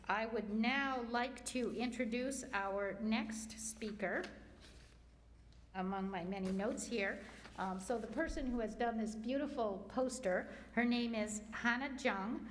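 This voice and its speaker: Woman moderator, mid pitch, casual chatty delivery, faint noise behind. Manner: chatty with fillers and restarts. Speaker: forum moderator introducing speakers. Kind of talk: casual introduction at a community forum.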